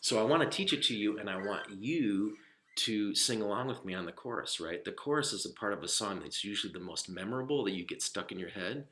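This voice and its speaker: A man's voice: fairly low pitch, animated, fairly quick, bright, forceful tone. A man talking casually and encouragingly to children as an author and musician presenting his work.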